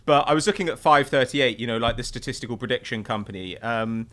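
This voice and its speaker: Guy hosting a sports podcast, bright voice, casual and kinda inquisitive. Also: mid-range voice, fast talker. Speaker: podcast host. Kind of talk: casual football discussion.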